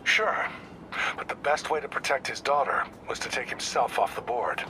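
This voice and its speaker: A man's voice: mid-range voice, lively intonation, moderate pace, sharp tone, slight background noise. A man voicing an older police detective in a video game, world-weary, guarded and matter-of-fact in his talk. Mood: matter-of-fact, wry.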